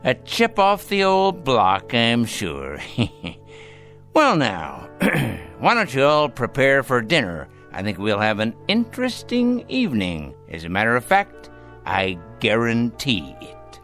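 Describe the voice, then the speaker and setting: Rich dying grandpa in a radio play, slow and sarcastic, voice swings up and down. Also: male voice, medium pitch, wildly swooping pitch, a bit bright, faint music behind, heavily acted. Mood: sly, ominous. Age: older. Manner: sardonic, theatrical, deliberate. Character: dying, caustic rich old grandfather, mocking his family. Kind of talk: radio drama acting.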